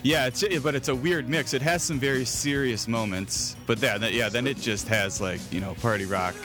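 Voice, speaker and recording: A man's voice: medium pitch, moderate pace, over music. A man hosting a podcast in casual, jokey conversation over an internet call, prone to chuckling. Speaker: podcast host. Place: remote Skype call.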